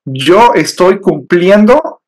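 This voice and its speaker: A man's voice: high voice, expressive. Israeli guy, hebrew first language, talking it through bit by bit with little "¿sí?" check-ins. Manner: measured, with hesitant fillers and "¿sí?" tags. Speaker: interview guest. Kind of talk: personal faith testimony.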